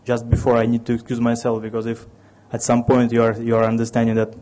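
A man's voice: mid-range voice, completely flat delivery, fast talker, mellow. A man in his thirties from Ukraine with a Russian accent, giving a technical conference talk with many restarts and fillers. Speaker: conference speaker.

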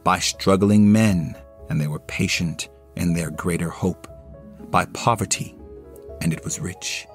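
A man's voice: sits low, measured pace, soft background music. A man narrating a classic novel as dramatic, scripted storytelling, with a theatrical and solemn delivery. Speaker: narrator, solo performer.

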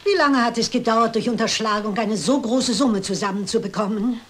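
Elderly amateur sleuth played by a woman: fairly high voice, courteous, composed, quietly probing radio-drama delivery. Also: close to an everyday voice. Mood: calm, probing. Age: older. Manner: polite, measured, probing. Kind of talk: German detective radio play acting.

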